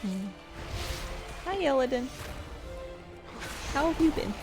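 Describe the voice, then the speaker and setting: A woman in her thirties chatting casually while she plays a game, rambling and halting with restarts and soft laughs. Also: high voice, sing-song, music in the background. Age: 30s. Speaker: streamer. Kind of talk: casual chat while gaming.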